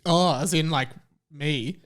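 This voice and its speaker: A man's voice: on the high side, slow, fairly bright tone. A man co-hosting a podcast, chatting casually and wryly as someone who laughs easily. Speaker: podcast co-host and author of the story. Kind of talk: casual comedic chat.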